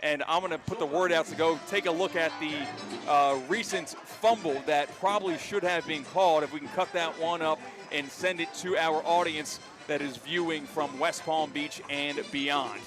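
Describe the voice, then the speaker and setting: An American man doing live play-by-play radio commentary of high school football, excitable and ready to shout the big calls. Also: moderate pace, music in the background. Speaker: play-by-play announcer.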